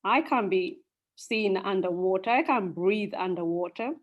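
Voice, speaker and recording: A woman's voice: medium pitch, a little slow, lo-fi sound, natural voice. A woman voicing a cautious male monkey in a folk tale. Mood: wary.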